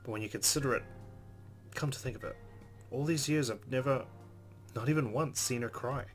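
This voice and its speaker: A man's voice: medium pitch, fairly quick, soft background music. A man hosting a let's play, reading visual novel narration aloud with casual asides.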